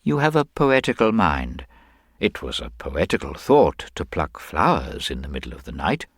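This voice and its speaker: Man acting mild government investigator, male, low pitch, lively intonation, courteous, deliberate, murmured hesitations, detective story, dry sound. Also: breathy edge, no room sound, full character voice. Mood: gently probing. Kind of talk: dramatised audiobook detective story.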